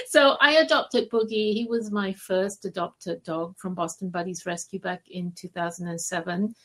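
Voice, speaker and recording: Woman from malaysia, average pitch, casual podcast guest telling stories, pauses a bit as she goes. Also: a bit bright. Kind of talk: casual interview storytelling.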